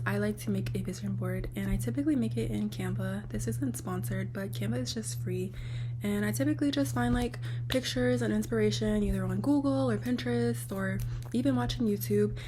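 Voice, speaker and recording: Raspy woman vlogger kinda losing her voice, rambly chatty talk, hum in the background. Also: medium pitch. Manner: rambling, lots of "like", talks to viewers directly. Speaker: lifestyle vlogger. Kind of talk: casual chatty recap.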